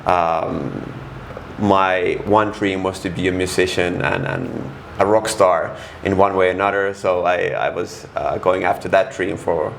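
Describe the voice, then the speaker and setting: A middle-aged man from Finland talks in a Finnish accent as a guest on an interview podcast. His voice sits very low and has a gravelly fry. He speaks frankly and haltingly, with many restarts. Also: fairly even intonation, slight background noise. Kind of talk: casual interview.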